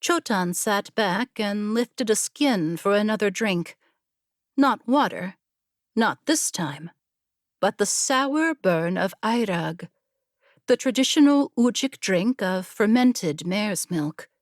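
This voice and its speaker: A woman narrating a scripted story in a measured, deliberate storytelling manner. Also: medium pitch, expressive, no echo, studio quality. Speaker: audiobook narrator.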